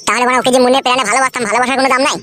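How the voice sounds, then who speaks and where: A woman's voice: sits high, steady tone, rapid-fire, fairly bright tone, soft background music. A woman voicing a heartbroken, pleading young husband in melodramatic cartoon dialogue. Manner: plaintive, repeatedly addressing his friend.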